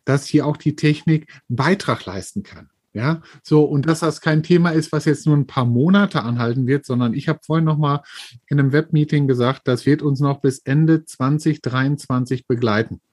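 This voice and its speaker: Talkative male co-host, slightly higher voice, husky, animated business chat. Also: moderate pace. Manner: lively, talkative, uses sports analogies. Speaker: podcast co-host.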